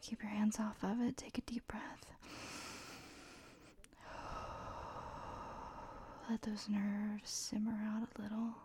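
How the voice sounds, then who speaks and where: A young adult woman whispering a slow, teasing, commanding audio roleplay close to the mic, with soft laughs between lines. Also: medium pitch, little pitch movement. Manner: mostly whispered.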